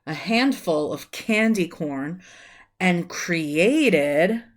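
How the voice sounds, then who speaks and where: Female storyteller, thirties, lowish voice, very unhurried children's read-aloud, recorded at home on a webcam. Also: expressive. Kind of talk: reading a children's book aloud. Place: small room at home, indoors.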